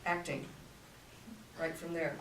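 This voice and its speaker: A woman's voice: sits low, little pitch movement, slow pace. An older woman, a voice teacher, explaining technique in a demonstrative coaching way, heard from a distance in a room. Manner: demonstrative, coaching, talks in bursts. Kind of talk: voice masterclass talk. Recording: roomy, distant, faint hum.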